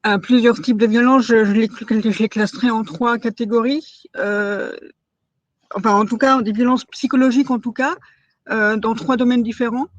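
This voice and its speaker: A woman's voice: a little high, steady tone, moderate pace, no echo, dull audio. A woman in her forties giving a personal testimony in an interview, hesitant, with many fillers and restarts and words she stresses as if in quotes. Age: 40s.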